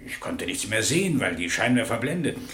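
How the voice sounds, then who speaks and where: A railwayman in his fifties testifying in a radio courtroom drama. His colloquial, clipped account moves with an animated melody. The room around the voice echoes noticeably. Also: lowish voice, brisk. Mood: plain, eager. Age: 50s. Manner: clipped, colloquial, matter-of-fact storytelling. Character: plain-spoken 56-year-old railway crossing keeper giving eyewitness testimony. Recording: roomy, echoing radio drama studio.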